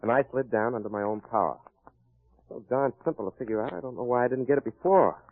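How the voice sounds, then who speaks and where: An actor playing a wry, confident private detective in a hard-boiled old-time radio crime drama. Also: male voice, mid-range voice, quick pace, no room sound, natural voice. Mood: pleased, explaining. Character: wry confident male private detective cracking a murder case. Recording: muffled vintage radio recording.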